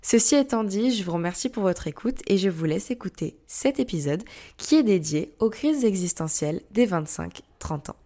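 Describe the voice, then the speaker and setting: A young woman, a student, hosting her own podcast and talking to listeners in a steady, explanatory way. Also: mid-range voice, normal speed. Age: young adult. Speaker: podcast host. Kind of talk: casual podcast monologue.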